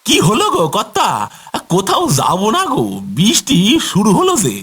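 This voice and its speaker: A man acts out a poor van driver turned vengeful ghost in a horror cartoon. The voice is high and husky, swinging widely in pitch as it tells its tale in a dramatic, rustic way. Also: huge pitch swings, normal speed, a bit bright, heavily acted. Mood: suspenseful storytelling. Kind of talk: cartoon horror story acting.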